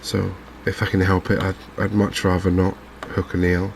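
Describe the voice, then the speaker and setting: A man hosts a game in a soft ASMR style. His voice is low and very monotone, with little rise or fall. He talks haltingly and with gentle understatement, over noticeable background noise. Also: vocal fry. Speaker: gaming channel host. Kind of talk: softly spoken ASMR game commentary.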